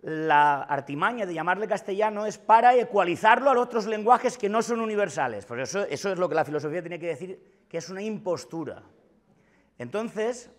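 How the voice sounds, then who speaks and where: A man's voice: high-pitched, lively intonation, brisk. A man in his forties with a Valencian Spanish accent, giving an emphatic, gesturing philosophy lecture to students in a classroom, often repeating his points. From Valencia, Spain. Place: classroom with whiteboard. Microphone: far camera.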